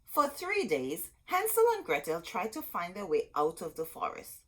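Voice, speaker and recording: A woman reading a children's story aloud as host, expressive and dramatic, drawing out words for emphasis. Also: fairly low pitch, moderate pace.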